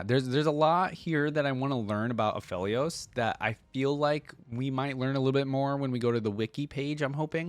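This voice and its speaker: A man giving casual reaction commentary as a video host, inquisitive and easily excited by what he watches. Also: medium pitch, no room sound.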